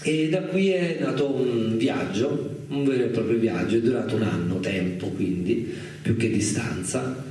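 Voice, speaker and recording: A man's voice: mid-range voice, a little slow, warm tone. A man giving an informal, unscripted talk as a guest filmmaker, hesitant and reflective as he searches for words. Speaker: guest speaker, documentary filmmaker. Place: echoing indoor live radio event. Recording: echoey room, distant mic, faint noise.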